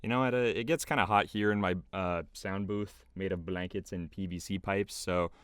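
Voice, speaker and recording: A man's voice: sits low, steady tone, normal speed, a bit bright, smooth, dry recording, studio quality. A man giving casual behind-the-scenes commentary as a video creator, rambling loosely in a small homemade booth.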